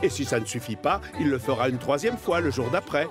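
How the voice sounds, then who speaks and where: A man's voice: mid-range voice, animated, a little fast. Male children's cartoon narrator over music. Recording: music behind the voice.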